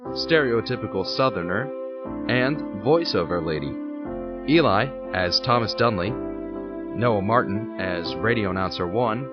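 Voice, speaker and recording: A man's voice: on the low side, lo-fi sound. A man reading scripted narration for the credits of an audio drama podcast.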